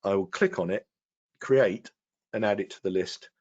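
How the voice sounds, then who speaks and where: Guy with a lowish voice walking thru software, kinda halting, slightly muffled stream audio. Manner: halting, explanatory. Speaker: guest product expert giving a demonstration. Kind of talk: technical software walkthrough. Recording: dull, lo-fi web stream audio.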